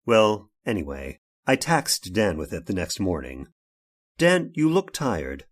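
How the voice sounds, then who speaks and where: A man's voice: low voice, very animated, a little slow, soft background music, full character voice. A man playing a fussy, evasive, hesitant workshop owner recounting a crime in an old-time radio horror drama. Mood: prim, uneasy.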